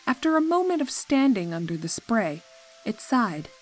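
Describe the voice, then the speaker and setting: A woman's voice: fairly high pitch, sing-song, moderate pace. A woman reading scripted story narration as the narrator. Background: music.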